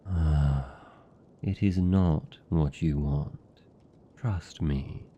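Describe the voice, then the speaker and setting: A British man playing a seductive, possessive vampire captor in an intimate fantasy ASMR roleplay, teasing and doting in a dark, gravelly murmur. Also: very deep voice, slight background noise, exaggerated voice. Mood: mock sympathy. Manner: slow, teasing, velvety endearments.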